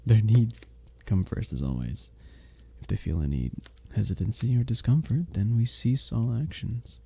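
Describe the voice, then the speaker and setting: Man playing a dark-voiced, flirty medieval innkeeper. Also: on the low side, animated, moderate pace, heavily acted. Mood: flirty, amused. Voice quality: dark, husky, gravelly. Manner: formal old-fashioned diction, teasing, gently courteous. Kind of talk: romantic ASMR roleplay, scripted character acting.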